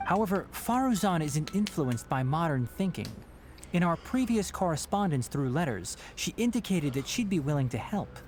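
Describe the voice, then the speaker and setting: A caring, sensible young video game scholar who explains things precisely. The delivery is measured and reassuring, with animated intonation, and music plays behind it. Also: male voice, a little high. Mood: explanatory.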